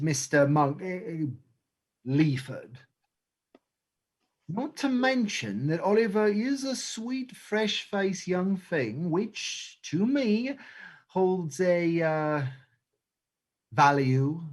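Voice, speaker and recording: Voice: medium pitch, full character voice. An actor playing a sly, menacingly courteous male crime boss in a stage play reading, theatrical and mock-polite. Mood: oily, calculating.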